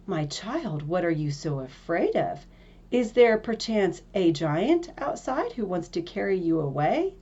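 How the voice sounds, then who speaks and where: A woman's voice: on the low side, sing-song, a little slow, warm tone, husky, lo-fi sound, full character voice. A woman reading a fairy tale as a stern, fatherly, commanding king. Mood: concerned.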